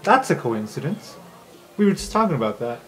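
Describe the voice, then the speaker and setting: A woman with a low voice improvises casually in a fantasy tabletop game. Her pitch moves around a lot. Music plays clearly underneath. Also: sing-song, fairly quick. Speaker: player on a roleplay podcast.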